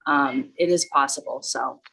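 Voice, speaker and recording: Woman, low gravelly voice, monotone delivery, casual rambling talk. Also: a little slow, low-quality recording. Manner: self-described monotone, relaxed and rambling with many fillers. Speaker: guest speaker. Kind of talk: casual talk on a live call.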